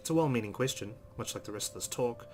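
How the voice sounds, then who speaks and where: A man's voice: mid-range voice, expressive, quick pace, darkish tone, a little hiss or hum. A man hosting a let's play, expressively reading a visual novel aloud and adding his own asides.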